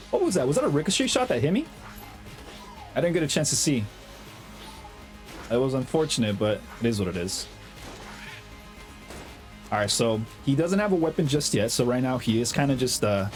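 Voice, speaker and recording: A young man with a slight cold, chatting excitedly over his own gameplay as the host of a gaming channel, full of slang and fillers. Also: medium pitch. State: has a cold, slightly hoarse. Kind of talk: casual gameplay commentary.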